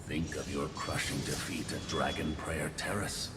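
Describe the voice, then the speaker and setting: An actor voicing a menacing old swordmaster in a video game, theatrical and taunting, with swells into force. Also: male voice, very deep voice, level tone, unhurried. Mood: goading, fierce. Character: menacing old male swordmaster goading his young pupil. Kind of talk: dramatic video game voice acting. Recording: loud music under the voice.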